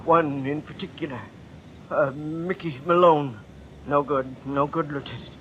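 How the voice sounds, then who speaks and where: An actor playing a bitter, anguished middle-aged father in an old-time radio drama, with theatrical, halting delivery. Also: on the high side, steady tone, lightly acted. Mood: troubled. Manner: theatrical, halting, repeating phrases for emphasis. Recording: muffled old radio recording, faint noise.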